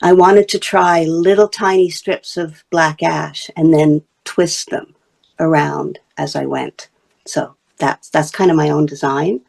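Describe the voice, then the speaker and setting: Gravelly low-voiced woman from Wales. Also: darkish tone. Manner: chatty, explaining her craft while showing pieces. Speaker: basketry artist and teacher. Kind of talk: casual interview talk.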